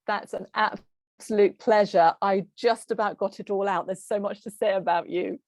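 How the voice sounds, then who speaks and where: A woman hosting an online summit, introducing her guest with enthusiasm and asking warm, effusive questions. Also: mid-range voice, fairly even intonation, moderate pace, dry recording. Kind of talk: hosted online interview.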